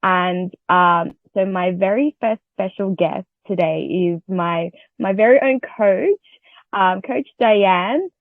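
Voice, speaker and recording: A woman hosting a casual interview in an excitable, giggly manner. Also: medium pitch, takes it slowly, no echo. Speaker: interview host. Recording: dull lo-fi audio, music behind.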